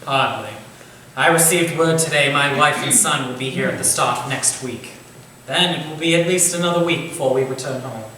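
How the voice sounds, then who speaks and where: A man's voice: medium pitch, moderate pace, pushed and bright, close to an everyday voice. A man playing an earnest, bookish Victorian writer in a staged reading, precise about details and a little hesitant. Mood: resigned. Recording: very echoey room, distant mic, hissy noise.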